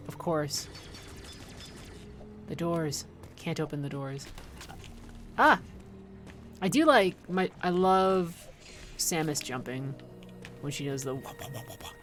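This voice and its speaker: A woman's voice: deep voice, slow pace, pauses often, soft background music. A woman doing casual livestream game commentary, chatting informally with viewers and rambling into playful asides. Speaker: livestream host playing a video game.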